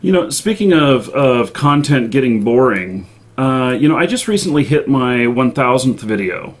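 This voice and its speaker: A man hosts a casual gaming livestream in an ordinary mid-range voice. He talks informally and haltingly, pausing to gather his thoughts as he goes. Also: medium pitch, big pitch swings. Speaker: livestream show host.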